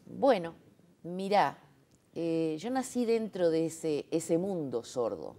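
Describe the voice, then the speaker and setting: A woman explains her work in a relaxed interview. Her pitch moves animatedly as she stresses her points. She uses frequent fillers and rhetorical checks. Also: slow pace. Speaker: interviewee, sign language interpreter. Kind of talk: conversational interview.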